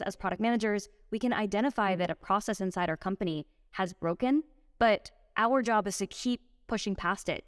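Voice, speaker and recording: A woman's voice: mid-range voice, clean tone, no echo, clean studio sound. A woman, a startup co-founder, giving fluent, articulate answers in a podcast interview. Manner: articulate, fluent explanations with analogies.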